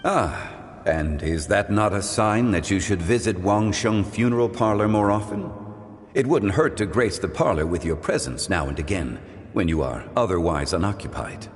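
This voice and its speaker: An actor playing a composed, scholarly gentleman in a video game, speaking in formal, courteous phrases. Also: fairly low pitch, lively intonation, normal speed, long reverb tail, natural voice. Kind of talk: scripted video game dialogue.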